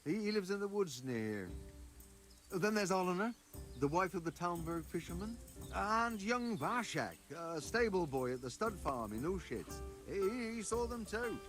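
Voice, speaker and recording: A nervous, stammering village gossip in a medieval game. His high voice jumps about in pitch, hesitant, over faint music. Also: very animated, moderate pace, fairly bright tone.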